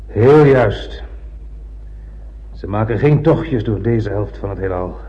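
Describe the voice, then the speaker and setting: Commanding, eccentric starship captain, very unhurried theatrical speech, muffled radio-drama recording. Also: male voice, lowish voice, animated, dark tone. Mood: testing, grandiose. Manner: theatrical, deliberate, quizzing his crew. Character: commanding, eccentric, grandiose blind male spaceship captain. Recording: muffled old radio play.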